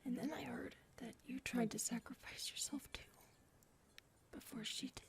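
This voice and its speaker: A woman acting in an ASMR audio roleplay as a gentle, shaken specialist who confides haltingly and softly. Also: a little high, fairly even intonation, vocal fry. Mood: grieving. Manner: soft-spoken, hesitant, halting.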